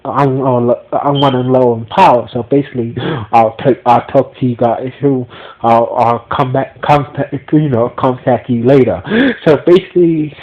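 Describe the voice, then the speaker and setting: A man with a stuffy nose, recapping a TV episode as a podcast reviewer, talking in halting bursts full of restarts and repeated fillers. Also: mid-range voice. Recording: dull lo-fi audio.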